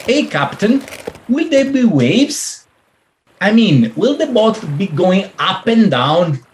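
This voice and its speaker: An actor playing a seasick, smooth-talking businessman husband in a radio play, melodramatic and whiny. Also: high voice, big pitch swings, slow pace, full character voice. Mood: anxious, queasy. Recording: faint background noise.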